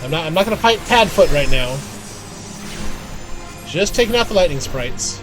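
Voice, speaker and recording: Higher-pitched man casually narrating his gameplay. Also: animated, music in the background. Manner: thinks aloud in halting fragments. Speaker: game streamer. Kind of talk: casual live gameplay commentary.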